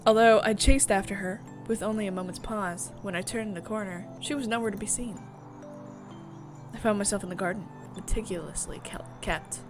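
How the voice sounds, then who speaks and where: A woman streamer reading a visual novel aloud with theatrical, expressive narration and casual asides. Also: mid-range voice, moderate pace. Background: music.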